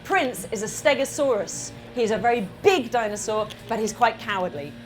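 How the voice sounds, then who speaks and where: A woman reads a storybook aloud to a room of children. Her voice sits fairly high and swoops expressively. She is animated and interactive, breaking off to explain and ask questions like an author at a live event. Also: normal speed, over music. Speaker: children's author reading own book.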